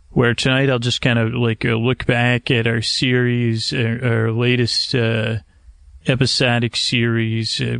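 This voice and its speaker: A man hosting a sleep podcast in a halting, digressive, meandering monologue, often interrupting himself and trailing off, with a gravelly creak to his voice. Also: mid-range voice, a bit bright, no echo, clean studio sound. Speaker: podcast host. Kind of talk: rambling, meandering bedtime monologue to help listeners sleep.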